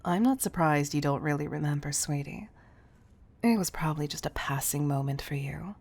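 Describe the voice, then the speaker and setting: A woman playing a commanding but fond CEO in an intimate roleplay audio, speaking softly and deliberately to the listener, often near a whisper. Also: low voice. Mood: wistful, tender. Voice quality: breathy, soft. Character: commanding, tough-loving female CEO, fond underneath.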